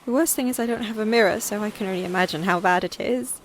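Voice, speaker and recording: A woman's voice: medium pitch, fast, slight background noise, lightly acted, low-quality recording. A woman playing an injured, despairing castaway alone on an island, speaking a raw, weary diary monologue in an audio drama. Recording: music behind the voice.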